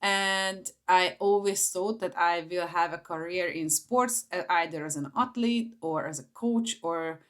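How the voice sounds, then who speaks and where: A woman's voice: on the low side, a little slow, hardly any pauses. A woman in her forties with a Hungarian accent, talking informally to camera as she shares personal stories. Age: 40s. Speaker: vlogger, entrepreneur.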